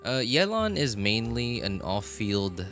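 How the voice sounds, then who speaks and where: A man's voice: mid-range voice, very animated, slow pace. A man live-streaming a game late at night, chatting with viewers in a rambling, hesitant way. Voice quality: rambling, hesitant, lots of fillers and restarts. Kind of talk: casual live-stream chat while gaming. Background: game music.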